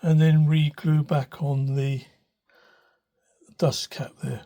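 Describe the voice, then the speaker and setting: A man's voice: fairly high pitch, level tone, slow pace, mellow. An older man giving an informal, unscripted demonstration as a hobbyist, in a hesitant, thoughtful manner. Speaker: hobbyist radio restorer. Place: small cluttered room indoors. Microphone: handheld phone behind the camera.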